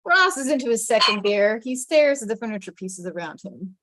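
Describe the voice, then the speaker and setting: A woman's voice: on the high side, sing-song, brisk, background noise. A woman reading scripted stage directions aloud as the narrator of a table read.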